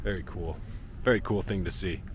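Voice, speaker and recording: A man's voice: low voice, fairly quick, background noise. A man in his thirties chatting casually while walking outdoors, filming himself at arm's length for a travel vlog, with lots of fillers. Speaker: travel vlogger. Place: outdoors by a lake and parking lot. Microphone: handheld action camera at arm's length.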